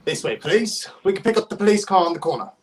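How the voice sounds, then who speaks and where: A young man playing a smooth, placating police detective in a 1930s-style radio play. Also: high voice, big pitch swings, fast talker, noisy background. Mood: soothing. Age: young adult.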